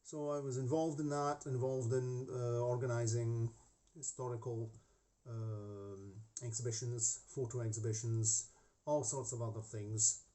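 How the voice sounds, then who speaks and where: A man's voice: mid-range voice, steady tone, unhurried, warm tone. A middle-aged man with a Scottish-tinged accent, chatting to camera from his hobby room with many restarts and asides. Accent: Scottish-tinged English. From half Scottish. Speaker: hobby model-making channel host. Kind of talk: casual chat to camera. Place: home hobby room with desk. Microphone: camera facing speaker.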